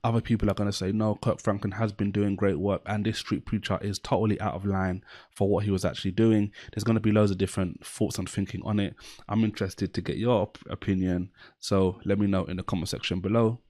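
A man's voice: fairly low pitch, level tone, fairly quick, dark tone, no room sound, clean studio sound. A man in his thirties hosting a channel, talking to camera indoors and earnestly explaining a story through scripture. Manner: earnest, explanatory, leans heavily on "basically". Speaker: channel host. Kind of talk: Bible-based commentary on a news story.

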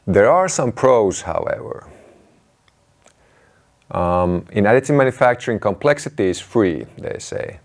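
A man in his thirties giving a measured, matter-of-fact explanatory talk to camera as a presenter. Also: sits low, creaky, darkish tone.

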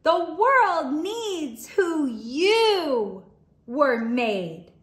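A woman teaching young children, reading a storybook aloud in an expressive, deliberate way. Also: sits high. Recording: roomy, echoing indoor sound.

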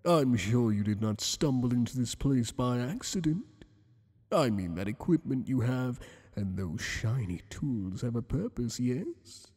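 A man playing a flirtatious, possessive tentacled alien boss in an ASMR roleplay, speaking in a teasing, courtly, deliberate way with long echoing reverb. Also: medium pitch, animated, darkish tone, breathy edge.

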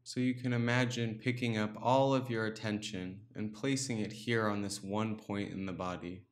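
A man leading a guided meditation, speaking calmly and without hurry, leaving pauses between short instructions. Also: fairly low pitch. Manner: calm, unhurried instruction with pauses. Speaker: meditation teacher.

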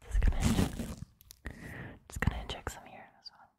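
Young woman, breathy whisper, soft mellow tone, unhurried, soothing ASMR roleplay, close-miked. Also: no room sound. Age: 20s. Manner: soothing, reassuring, gentle. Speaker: ASMR roleplayer playing a surgeon. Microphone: close mic, speaking through a surgical mask.

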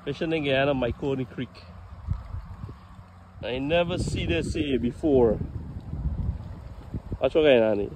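A man filming his own fishing trip by a roadside canal, giving excitable, profane running commentary into a handheld phone. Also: mid-range voice, unhurried, pauses often. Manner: excitable, swears freely. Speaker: fishing vlogger. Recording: outdoor wind noise.

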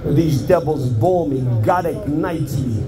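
A man's voice: fairly high pitch, slow pace, a crowd in the background. A man preaching a fervent revival sermon on the street, declaiming in repetitive, exhorting phrases. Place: outdoors on a street. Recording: loud music behind the voice.

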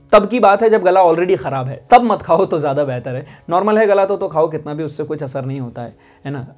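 A man's voice: a little high, a little mellow. Young man teaching vocal care. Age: young adult. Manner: explanatory, keeps asking "है ना?". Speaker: music teacher. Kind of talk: vocal care lesson to camera. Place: indoor studio with instruments.

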